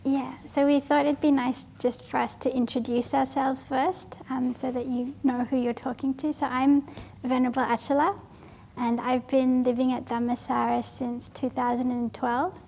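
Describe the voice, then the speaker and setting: Giggly high-voiced nun. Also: female voice, flat delivery, moderate pace, gravelly, few pauses, warm tone, slight background noise. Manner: giggly, self-deprecating, light and nervous. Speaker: Buddhist nun. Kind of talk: Q&A panel at a retreat. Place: retreat hall with a live audience.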